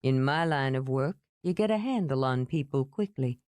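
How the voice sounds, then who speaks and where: Woman doing a calm, low-voiced man. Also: normal speed, dry recording, studio quality. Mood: reassuring.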